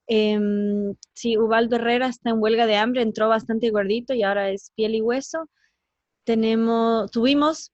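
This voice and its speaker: A woman with a slightly high voice speaks as an interview guest, explaining her work. She comes from Ecuador and grew up in the United States. The sound is very dry but somewhat muffled and lo-fi. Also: no echo. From born in Ecuador, raised in the United States. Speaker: interview guest, teacher and columnist.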